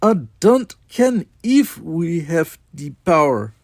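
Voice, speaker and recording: A man voicing an excitable starship engineer with a put-on Scottish brogue in a dramatized audiobook. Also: a little high, slow, dry recording, clean studio sound, voice pushed up, heavily acted. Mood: eager, gulping. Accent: mock Scottish.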